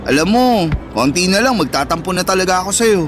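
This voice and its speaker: A man's voice: high-pitched, animated, fast. A man acting a hurt, affectionate teenage older brother in a Filipino radio drama, with an earnest, emotional delivery and a Filipino accent. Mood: hurt, puzzled. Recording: music behind the voice.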